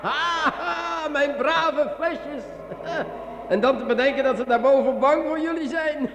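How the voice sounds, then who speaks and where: An actor in a radio play playing a muddled, giddy man with a stammering, halting delivery. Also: very high voice, fairly bright tone, a little hiss or hum, full character voice. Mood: giddy delight. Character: giddy, muddled man enchanted by talking bottles. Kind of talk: theatrical radio play acting. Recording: echoey hall, music behind.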